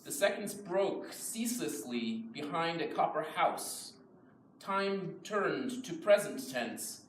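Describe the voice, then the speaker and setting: A man reciting poems in translation at a live poetry reading, in a measured style. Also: a little high, sing-song. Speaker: reader of English translations. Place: live event in a reverberant room. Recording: echoey room, distant mic, faint noise.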